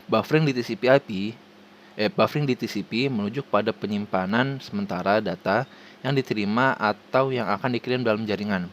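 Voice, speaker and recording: A man with an Indonesian accent reading a formal scripted presentation haltingly, stumbling over words and restarting them. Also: lowish voice, slight background noise. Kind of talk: scripted presentation read aloud.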